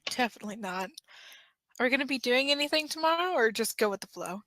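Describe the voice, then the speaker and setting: A woman's voice: fairly high pitch, animated, fast talker, bright, forceful tone, no echo. A woman voicing a playful, pleading, dramatic young man in a fan-made audio drama. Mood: playful, nervous. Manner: teasing, dramatic.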